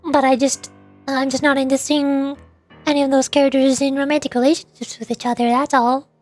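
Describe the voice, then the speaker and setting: A woman's voice: sits high, little pitch movement, a little fast, a little mellow, no echo, soft background music. A young woman streaming live, chatting casually in a rambling, hesitant way full of fillers and restarts.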